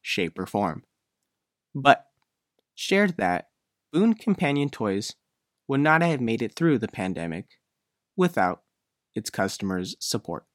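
A man's voice: medium pitch, big pitch swings, takes it slowly, long pauses, dry recording, clean studio sound. A man working as a reporter, reading a scripted news feature narration.

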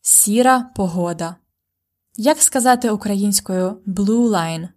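A woman from Ukraine, speaking English with a Ukrainian accent, hosting a podcast language lesson and slowly modelling words for learners to repeat. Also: mid-range voice, darkish tone. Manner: clear, slow model pronunciations. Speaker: language teacher, podcast host.

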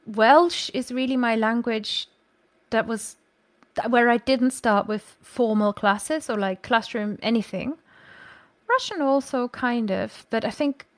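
A woman's voice: a little high, no room sound. A woman, chatting as a podcast guest and thinking aloud with frequent self-corrections. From Germany. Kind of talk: casual conversational storytelling.

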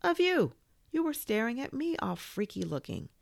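A woman's voice: on the low side, big pitch swings, normal speed, gravelly, darkish tone, dry recording, pitched above the performer's own voice. A woman puts on a small, timid storybook plush toy who pleads hesitantly. The delivery swings up and down with animation, in a dry, close sound. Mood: scared. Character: timid, needy little plush toy buddy, male.

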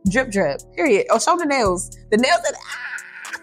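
A woman's voice: fairly high pitch, big pitch swings, measured pace, faint music behind. Bubbly young woman hosting a podcast. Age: 20s. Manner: bubbly, slangy, hypes up her guest. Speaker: podcast host. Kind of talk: upbeat casual podcast chat.

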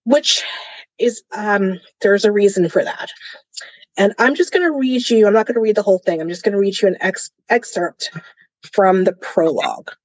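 A woman's voice: medium pitch, moderate pace, no room sound, low-quality recording. A woman co-hosting a podcast, casually discussing a book and reading passages aloud. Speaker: podcast co-host.

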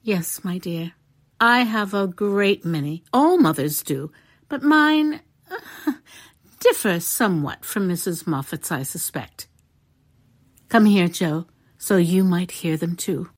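A loving middle-aged mother acted by a woman in a dramatized storybook. Her voice is lowish and husky, and she speaks very slowly, with grave but kindly care. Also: expressive. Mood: serious, warm. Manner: serious yet cheery, measured. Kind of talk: dramatized audiobook character acting.

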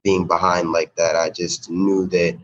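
A man's voice: deep voice, flat delivery, a little slow, muffled recording. A young American man in his late teens, a student giving an earnest, reflective personal account in conversation. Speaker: student, podcast guest.

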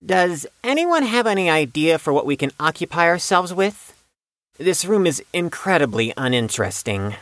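A woman's voice: lowish voice, sing-song, normal speed, fairly bright tone, no room sound. A woman playing a bookish, haughty young know-it-all with pedantic, formal speech in an audio drama.

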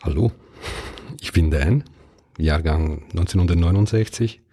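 A man in his fifties from southern Switzerland, Italian by mother tongue, whose German carries a clear Italian accent. His voice sits low and mellow with a husky edge. He hosts his own podcast alone, frank and conversational. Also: unhurried. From Ticino, Switzerland. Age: 50s. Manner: calm, candid, conversational with small hesitations. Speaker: podcast host. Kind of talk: solo podcast monologue.

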